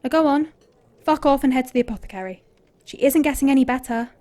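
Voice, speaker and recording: A woman's voice: high-pitched, quick pace, dark tone, close to an everyday voice. A woman playing a blunt, foul-mouthed young princess turned monster hunter. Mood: brusque. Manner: blunt and curt, swears freely. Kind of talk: fan audio drama acting.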